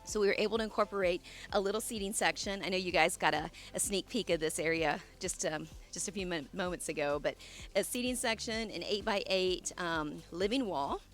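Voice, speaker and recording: A woman's voice: on the low side, fairly quick. Woman w a scratchy cold voice, rambly and friendly, showing off her yard outside. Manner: chatty, warm asides with fillers and restarts. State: hoarse, losing her voice from a cold. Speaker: landscape designer and channel host. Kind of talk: casual garden tour vlog. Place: outdoors in her own garden side yard. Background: soft music and running water.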